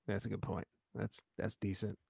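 A man's voice: fairly low pitch, flat delivery, moderate pace, gravelly, dark tone, slightly rough, dry recording, clean studio sound. A man co-hosting a casual sports podcast, joking and playfully exaggerating, often restarting his sentences. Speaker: podcast co-host.

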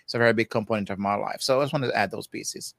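A man's voice: on the low side, rapid-fire, gravelly, a bit bright, dry recording. An older man with a Jamaican accent, a guest therapist talking in a chatty, easygoing way. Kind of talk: casual interview talk.

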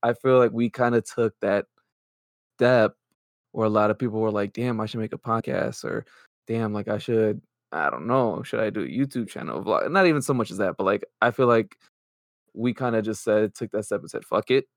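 A man's voice: on the low side, normal speed, no room sound, clean studio sound. A man co-hosting a podcast in casual chat, talkative and joking, swearing casually. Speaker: podcast co-host.

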